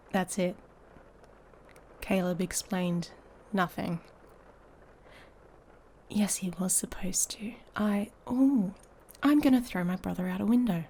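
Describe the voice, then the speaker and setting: A woman playing an irritable, sarcastic she-wolf pack heir in an intimate ASMR audio roleplay, soft and breathy, often near a whisper. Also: medium pitch. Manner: sardonic, exasperated, drawling with sighs.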